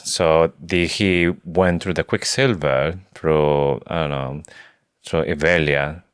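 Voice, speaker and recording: A man with an extremely deep voice speaks as a podcast co-host, in a dry recording with no room sound. His English phrasing is non-native, and he talks casually as in a book discussion. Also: steady tone, takes it slowly, clean tone, dry recording.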